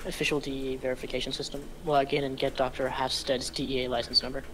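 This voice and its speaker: A man's voice: mid-range voice, flat delivery, fast talker, fairly bright tone, slight background noise, close to an everyday voice, low-quality recording. A man playing a cool, cocky scammer giving instructions in a table read of a film script. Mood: cool, assured. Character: cocky male scammer coolly coaching his accomplice.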